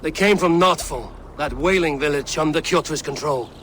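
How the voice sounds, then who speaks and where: An actor playing a tough, loyal young Viking warrior in a video game, terse and resolute in manner. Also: male voice, a little high, moderate pace, fairly bright tone, a little hiss or hum, natural voice. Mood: wary, resolute. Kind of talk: dramatic video game acting.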